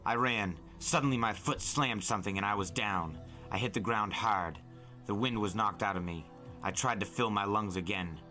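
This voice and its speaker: A man narrating a story dramatically, like an audiobook, intense and deliberate in his phrasing. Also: lowish voice, sharp tone, soft background music.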